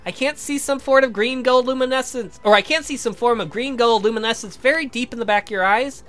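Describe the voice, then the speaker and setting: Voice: medium pitch, fairly quick, fairly bright tone, soft background music, close to an everyday voice. A performer voicing a patient young male scientist in a visual novel, explaining things in a formal, precise, pedantic way. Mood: clinical.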